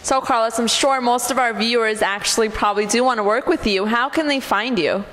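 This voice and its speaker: A woman's voice: fairly high pitch, fairly quick, fairly bright tone, poor audio. A woman co-hosting the show, asking friendly interview questions. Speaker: co-host. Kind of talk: casual interview talk.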